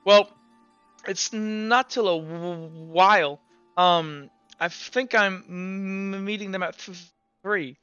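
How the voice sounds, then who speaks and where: A timid young man, voiced by a young man, who trips over his first syllables in a raised, nervous voice. The voice is bright and clear, on a dull lo-fi mic in a dry room. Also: high-pitched, slow, no room sound, soft background music, heavily acted. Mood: shy. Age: young adult. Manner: stammers on the first sounds of words.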